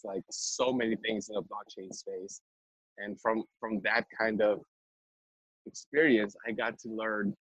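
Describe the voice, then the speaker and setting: A man's voice: lowish voice, husky, no room sound, rough recording. A young man from Vietnam, an MBA student guest, talking casually with lots of fillers and restarts. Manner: chatty with frequent fillers and restarts. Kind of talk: casual conversational interview.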